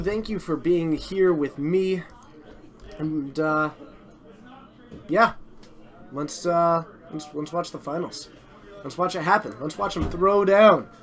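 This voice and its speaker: A man hosting and commentating a live card game stream, chatty and self-deprecating, while slowly losing his voice. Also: high-pitched.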